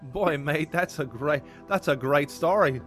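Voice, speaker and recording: A man's voice: on the high side, measured pace, music in the background, natural voice. A man voicing a jokey, exaggerated mock Australian bloke, hamming up a put-on Australian accent. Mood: joking. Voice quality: hammy, jokey.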